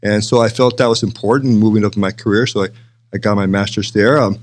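An older man from Texas speaks as an interview guest in a fairly low voice. He moves along at a quick clip, explaining things conversationally and punctuating points with "right?" and "you know". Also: steady tone. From El Paso, Texas, United States. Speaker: interview guest, city manager.

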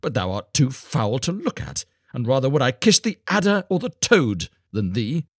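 A man's voice: mid-range voice, big pitch swings, moderate pace, no echo, clean studio sound, voice pushed up. A man voicing a proud, cruel young boy with haughty, emphatic, archaic storybook speech. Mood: hard, bitter. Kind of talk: dramatised storybook reading.

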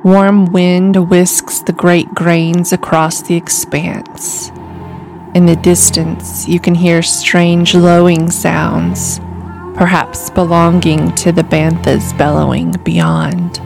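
A woman calmly reading a scripted guided imagery meditation in a soothing, deliberate way. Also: lowish voice, gravelly. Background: music.

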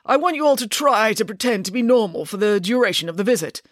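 A stuffy, blustering middle-aged lawyer father, as a woman reads him in a storybook. He sounds pompous and easily flustered, his voice swinging widely in pitch. The recording is close and dry. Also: lively intonation, fast talker, no echo, natural voice. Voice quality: blustering, nervous.

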